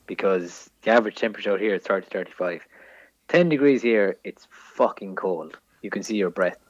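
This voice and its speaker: A man's voice: on the low side, expressive, brisk, dry recording, muffled recording. A man co-hosting a comedy podcast over a remote call, chatting casually in an emphatic, mock-serious way. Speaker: podcast co-host.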